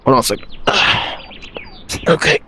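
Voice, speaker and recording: A man playing a gruff, chain-smoking off-duty villain with a deep, rough smoker's voice, rambling and swearing casually in a game roleplay. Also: slow pace, sharp tone, slight background noise. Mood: laid-back.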